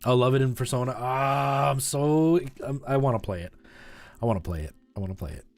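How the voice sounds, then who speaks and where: Man, mid-pitched voice, casual streamer chat, conversational explaining, music playing behind. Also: a little fast, dry recording. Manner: conversational, explanatory, often checks in with "right?".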